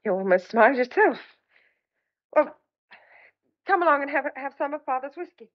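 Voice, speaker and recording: A woman's voice: high voice, lively intonation, moderate pace, highs cut off. An actress playing an anxious young countrywoman, hesitant but firm, repeating her words in an old-fashioned thriller audio drama. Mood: hesitant, concerned.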